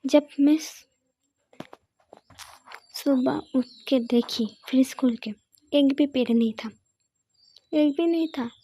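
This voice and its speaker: A woman's voice: high-pitched, mellow. A woman voicing a stern young wife who scolds and complains about her sister-in-law in a story. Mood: complaining.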